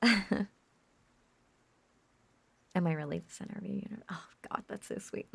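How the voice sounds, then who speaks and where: A woman playing a lovesick, obsessive witch in an intimate roleplay audio drama, cooing in a breathy, husky half-whisper with lingering pauses. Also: mid-range voice. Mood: lovestruck, giddy. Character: lovesick obsessive young witch, possessive, teasing and doting.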